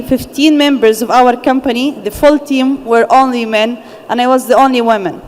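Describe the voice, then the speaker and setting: A young woman, a founder speaking on a conference panel, earnest and measured, pausing to find her words. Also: on the high side, darkish tone. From Gaza, Palestine. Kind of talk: personal story told on a conference panel. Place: large conference hall. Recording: echoey conference hall with faint ambience.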